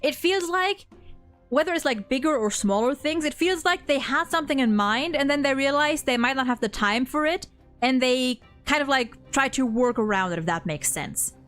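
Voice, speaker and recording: The woman you'd hear hosting a late gaming livestream, rambling and cursing in a highish voice over soft music. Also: lively intonation, moderate pace. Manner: rambling, informal, swears freely. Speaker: streamer hosting a game story ranking discussion. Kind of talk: casual chat.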